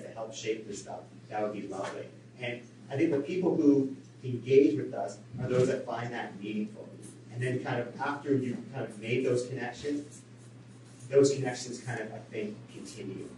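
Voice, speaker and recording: A man's voice: on the low side, darkish tone. Man talking from across an echoey room. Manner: casual, hedging with "kind of". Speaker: researcher. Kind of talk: panel discussion. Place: coffeehouse event room. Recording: echoey room, distant mic, faint noise.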